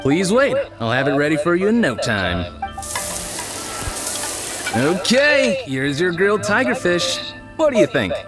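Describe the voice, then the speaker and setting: An actor playing a proud, cheerful street-food cook in a game, brash and confident. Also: male voice, high-pitched, very animated, a little slow, pauses often. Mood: friendly. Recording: game audio with loud music behind.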